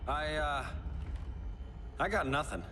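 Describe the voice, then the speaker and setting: A man playing a cocky wisecracking superhero in a video game, with an animated delivery. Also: fairly high pitch, slow, background noise. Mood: wry, joking. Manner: flippant, animated. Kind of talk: cinematic game acting. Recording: loud music behind the voice.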